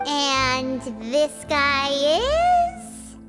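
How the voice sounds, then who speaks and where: A tiny, cheeky video game creature with an extremely high, childlike voice. The delivery is perky and exaggerated, swinging widely in pitch. Loud music plays underneath. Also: female voice, wildly swooping pitch, extremely slow, big-room sound, heavily acted. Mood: puzzled. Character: small childlike floating companion creature, cheeky and boastful.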